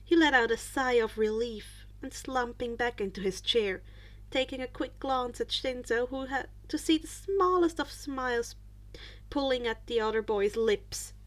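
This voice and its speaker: High-voiced, expressive woman reading a story. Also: unhurried. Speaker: podfic narrator. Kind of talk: expressive scripted story narration.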